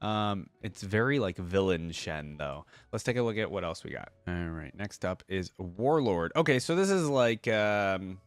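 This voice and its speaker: A man's voice: deep voice, animated, measured pace, hardly any pauses, smooth, no echo. A man hosting a casual reaction commentary on game skins, thinking aloud in an informal way. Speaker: YouTube reaction host.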